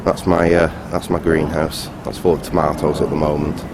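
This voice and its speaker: A man with a low-pitched voice talks off the cuff, as if showing someone around. His delivery is halting and rambling but matter-of-fact. There is clear noise behind him on a casual handheld recording. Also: flat delivery. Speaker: vlogger, hobbyist restorer. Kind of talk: casual unscripted video tour.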